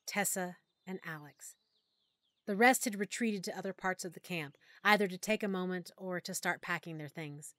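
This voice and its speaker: A woman narrating a first-person audiobook story in a measured, emotional way that sometimes drops to a hush. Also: on the low side, dry recording, studio quality. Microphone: close studio mic.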